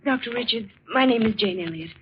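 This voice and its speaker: A woman's voice: a little high, fast talker, slight background noise, lightly acted. A woman playing an earnest, emotional young fiancée in her twenties in a 1940s radio melodrama. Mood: desperate, pleading. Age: young adult. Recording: muffled old radio, music behind.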